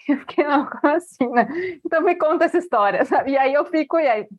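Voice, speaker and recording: Chatty woman, bright voice. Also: high-pitched, quick pace, no room sound. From Brazil. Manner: chatty, many fillers, lively retelling. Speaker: author guest. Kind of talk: casual interview chat.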